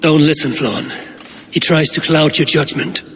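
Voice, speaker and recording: An actor playing a loyal, protective warrior companion in a video game, measured and earnest. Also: male voice, fairly high pitch, steady tone, a bit bright. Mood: protective urging. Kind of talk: dramatic video game cutscene acting. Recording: reverberant hall, clear background noise.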